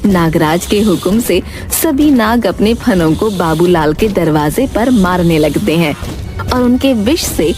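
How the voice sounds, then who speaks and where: A woman storyteller reading scripted narration for a children's animated fairy tale. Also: fairly low pitch.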